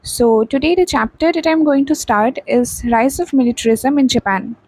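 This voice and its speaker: A woman's voice: high voice, normal speed, a little mellow, dry recording. A young woman with an Indian English accent, teaching a textbook chapter and repeating key points with frequent "okay" and "uh". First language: not Hindi.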